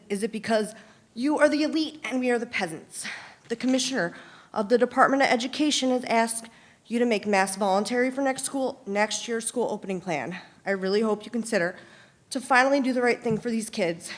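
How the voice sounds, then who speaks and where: Woman, average pitch, accusatory public commenter. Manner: accusatory, combative.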